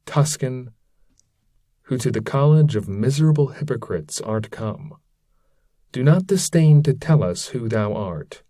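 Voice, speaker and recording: A man's voice: mid-range voice, lively intonation, measured pace, mellow, no room sound, clean studio sound. A man voicing a grieving damned friar in a formal, weary, archaic way for an epic poem. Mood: curious, solemn. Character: grieving damned friar, hypocrite's shade in leaden cloak. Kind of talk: dramatic reading of epic verse.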